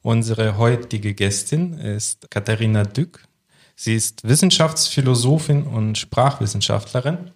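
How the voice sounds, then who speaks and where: A man co-hosting a podcast, chatting in a relaxed way and explaining with a few fillers, recorded in a room at a language institute. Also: lowish voice, measured pace. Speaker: podcast co-host. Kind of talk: casual podcast chat.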